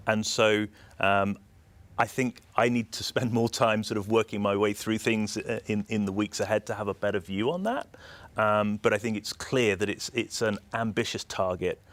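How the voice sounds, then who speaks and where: Man, British accent, lowish pitch, measured explanatory delivery, interview guest. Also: fairly even intonation, dry recording. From United Kingdom. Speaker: energy system operator executive, interview guest. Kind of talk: interview answers.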